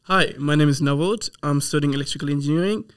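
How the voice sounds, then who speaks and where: A young man from Sri Lanka, a student guest telling his story in a relaxed, amused way. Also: a little high, fast, clear, resonant tone. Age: young adult. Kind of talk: casual interview chat. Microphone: desk mic on stand.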